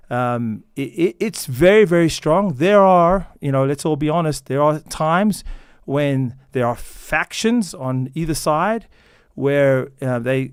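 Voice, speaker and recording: American guy being interviewed, earnest, lots of ums, smooth clear voice. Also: mid-range voice, no echo, clean studio sound. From United States. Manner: measured, earnest, many fillers and restarts. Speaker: company founder guest. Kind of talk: interview answers.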